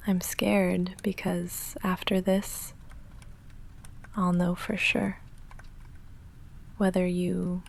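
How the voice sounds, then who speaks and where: Female goth vampire, played by a woman, intimate ASMR roleplay: breathy, heavily creaky, unhurried and halting, intense but holding back. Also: fairly low pitch, exaggerated voice. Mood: nervous, restrained. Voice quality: breathy, often whispering, creaky. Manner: halting, hushed, confessional with dry deadpan asides. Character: female goth vampire roommate, lovestruck, restrained, nervously intense.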